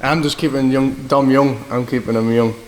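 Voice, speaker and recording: A man's voice: mid-range voice, steady tone, normal speed. A young man from Scotland with a northeast English accent, a boxer telling rambling stories in an interview, often repeating "do you know what I mean". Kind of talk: casual interview answers. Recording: echoey hall with faint background noise.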